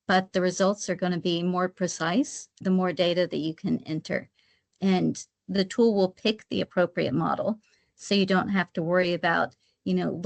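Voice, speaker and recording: A woman's voice: on the low side, vocal fry. A woman giving an explanatory webinar presentation step by step, sometimes repeating her phrases as she goes. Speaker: guest presenter, credit rating specialist.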